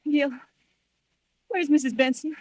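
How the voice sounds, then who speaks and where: An actress playing a frightened, suspicious wife in an old-time radio thriller, hesitant and pleading. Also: female voice, high voice, a little slow, darkish tone, no echo. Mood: resigned, uneasy. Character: frightened, suspicious wife who fears being poisoned.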